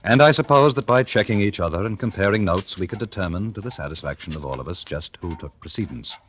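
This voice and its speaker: A coolly murderous husband in a 1940s radio thriller speaks very low. He is measured and sardonic, needling with perfect control, on a faintly noisy old recording. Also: animated, fairly bright tone. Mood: coolly menacing.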